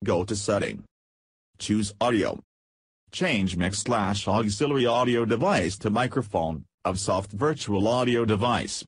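A man reading a scripted, step-by-step software tutorial as its narrator. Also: fairly low pitch, animated, a little slow, slightly rough, no room sound.

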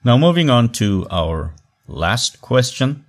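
A man teaching an online class in a voice-over on slides, speaking in short measured phrases with emphatic pauses. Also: mid-range voice, very animated. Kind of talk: recorded online class lecture.

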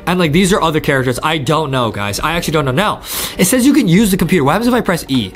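A man in his twenties giving excited gameplay commentary, eagerly repeating his phrases. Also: fairly high pitch, racing. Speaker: gaming video host. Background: faint game music.

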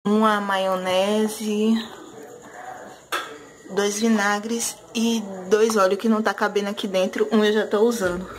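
A woman's voice: medium pitch, little pitch movement, a little slow, a crowd in the background. Chatty woman vlogging her groceries. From Brazil. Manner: chatty, listing items with fillers like "né". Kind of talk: casual home vlog, showing groceries. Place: home kitchen, indoors. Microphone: handheld phone camera. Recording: noisy home phone recording.

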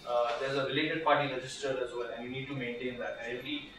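A man's voice: medium pitch, level tone, fast. A man in his thirties with an Indian English accent, giving a conversational legal workshop talk with many restarts and fillers. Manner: conversational, lots of restarts and fillers, gestures while standing. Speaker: workshop speaker, lawyer. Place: small seminar room with projector. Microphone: far camera, no mic. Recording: echoey room from far off, background noise.

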